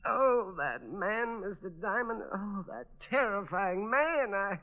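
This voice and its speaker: Timid, high-voiced, flustered little shopkeeper. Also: male voice, animated, slow pace, exaggerated voice. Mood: anxious. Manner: stammering, nervous, deferential. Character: timid, flustered, fretful antique shop manager. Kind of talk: old-time radio detective drama acting. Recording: muffled old radio recording.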